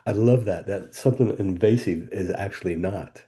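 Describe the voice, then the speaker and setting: A man's voice: low voice, normal speed, dark tone. A man co-hosting a podcast, affirming the guest and reflecting back what she says. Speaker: podcast co-host. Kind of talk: casual conversation.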